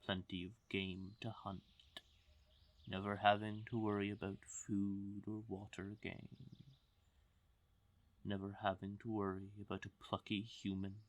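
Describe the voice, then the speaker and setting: A man voicing a smug, possessive fae king in an intimate roleplay audio drama, teasing and commanding with silky menace and drawn-out pauses. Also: on the low side, completely flat delivery, natural voice. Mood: coaxing, boastful.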